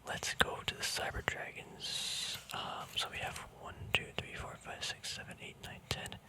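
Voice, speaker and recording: Whispering man, casual ASMR ramble. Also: normal speed. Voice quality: breathy unvoiced whisper. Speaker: ASMR video creator. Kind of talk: ASMR, casual rambling commentary on a card deck.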